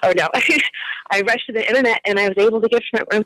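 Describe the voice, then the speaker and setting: Excited woman caller on a radio show. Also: on the high side, fast talker, a little hiss or hum, rough recording. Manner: gushing, excited. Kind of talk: phone-in chat.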